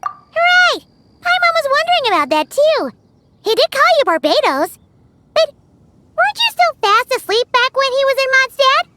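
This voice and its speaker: A woman voicing a small, cheeky, excitable fairy companion with a childlike, exclamatory way of talking in a video game. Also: very high voice, moderate pace, very noisy. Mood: curious. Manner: speaks of self in third person, exclamatory. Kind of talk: video game character dialogue.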